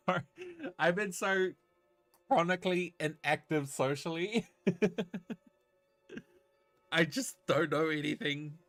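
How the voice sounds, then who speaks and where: A man's voice: fairly high pitch, slow, pauses often, fairly bright tone, dry recording, faint music behind. A man chatting casually and giggly while live-streaming a game, reacting as he plays.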